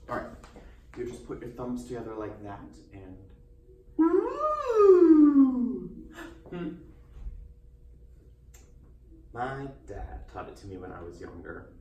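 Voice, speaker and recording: A man's voice: on the high side, a little mellow. A man performing a theatrical solo monologue as a needy, troubled teenage boy magician who delivers showman patter and swings abruptly between coy and explosive. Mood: chatty, boyish. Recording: roomy, distant mic, faint noise.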